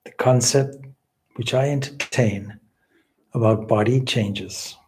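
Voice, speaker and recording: Man, mid-pitched voice, very unhurried pace, spiritual meditation guide, solemn, measured phrasing, long pauses. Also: a little mellow, slight background noise. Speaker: swami leading guided meditation.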